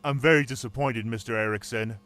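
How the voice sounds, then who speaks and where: A man voicing an unmasked male agency interrogator, flat and matter-of-fact. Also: mid-range voice, a little fast, voice pushed down, lightly acted. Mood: cold.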